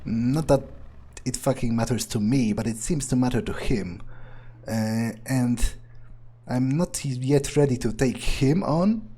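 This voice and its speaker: A man acts out a scornful male vampire in a game roleplay, thinking aloud in a dark, mellow voice with a husky edge. He is sardonic and profane, muttering and halting as he goes. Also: medium pitch. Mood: brooding, dismissive. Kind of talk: improvised in-character game roleplay.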